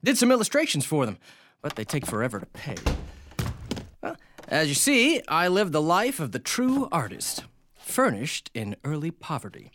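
An actor playing a genial, hard-drinking bohemian illustrator in a radio drama, chummy and wry, with period slang. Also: male voice, on the high side, wildly swooping pitch, moderate pace, a bit bright, a little hiss or hum. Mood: breezy, wry. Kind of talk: dramatized audio drama dialogue.

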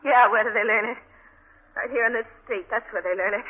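An actor playing a bitter, cynical slum local with a husky voice in a 1940s radio drama. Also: male voice, sits high, fairly even intonation, fairly quick. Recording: tinny old radio broadcast.

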